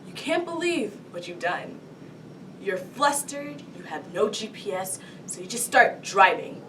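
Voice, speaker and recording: A woman's voice: big pitch swings, unhurried, a bit bright. A woman performing a cocky police detective who lays out a crime to a suspect in a comic TV monologue, taunting and dramatic. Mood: building, triumphant. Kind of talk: comedy TV monologue performed solo. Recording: distant mic, rumbling background noise.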